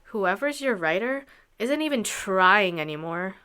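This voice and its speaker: A woman's voice: mid-range voice, animated, measured pace, bright, forceful tone, clear, resonant tone. A woman playing a skeptical, sensible traveling musician in a radio drama, questioning and matter-of-fact.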